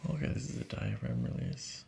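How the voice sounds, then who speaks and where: A soft-spoken man working as a chiropractor, calmly coaching someone step by step in a hushed ASMR style. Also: fairly quick. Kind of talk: hushed ASMR treatment instructions. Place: small carpeted treatment office, indoors. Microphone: boom-mounted microphone close to the treatment table.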